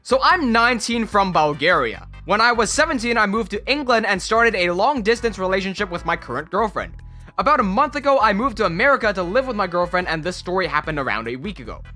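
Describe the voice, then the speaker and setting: Lively narrator with wry asides, very expressive pitch over faint music. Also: fast talker. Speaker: story narrator. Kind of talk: reading online stories with commentary.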